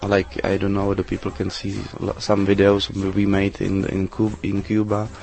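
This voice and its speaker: A man, his voice sitting low and staying flat. He answers questions in halting, English, pausing on fillers and restarting his sentences. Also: creaky. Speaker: interview guest, DJ. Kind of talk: casual interview answers.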